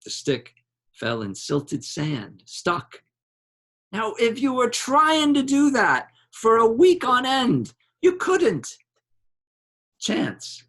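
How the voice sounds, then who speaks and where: A man reading a literary novel aloud in a measured, dramatic way, with deliberate pauses between short phrases. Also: extremely high pitch, huge pitch swings, pushed and bright.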